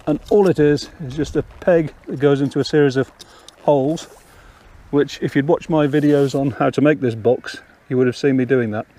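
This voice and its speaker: A man's voice: mid-range voice, normal speed, warm tone. An older man casually explaining fishing steps outdoors on a riverbank, talking step by step. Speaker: angler and channel host.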